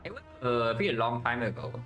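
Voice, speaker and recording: A man with a Vietnamese accent talks through his card game plays in a casual, thinking-aloud stream, tossing in "yeah?" at the end of lines. His pitch sits mid-range for a man. Faint game music plays underneath. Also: lively intonation, fast talker, fairly bright tone. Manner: thinks aloud, tag questions "yeah?", chats with viewers. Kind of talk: casual live-stream commentary.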